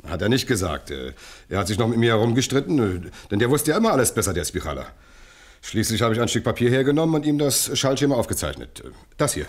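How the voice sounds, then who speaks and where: A man's voice: medium pitch, sing-song, quick pace. An actor playing a defensive, grumpy electrician in a radio crime drama, curt and colloquial in his talk. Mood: indignant, puzzled. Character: grumpy, defensive male electrician under questioning.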